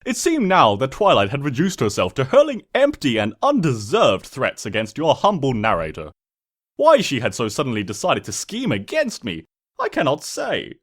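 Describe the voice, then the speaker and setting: A man voicing a smug, mischievous trickster spirit who narrates a comic storybook in a pompous, sardonic, theatrical way. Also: medium pitch. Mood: mock wounded.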